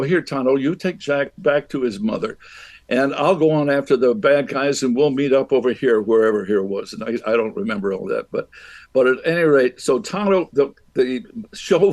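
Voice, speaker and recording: A man casually telling an anecdote in a rambling, halting, amused way. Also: mid-range voice, a little mellow.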